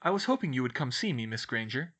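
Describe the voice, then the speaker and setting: A man's voice: medium pitch, big pitch swings, fast talker, a bit bright. A man voicing a kindly, concerned woman professor, friendly and reassuring in manner.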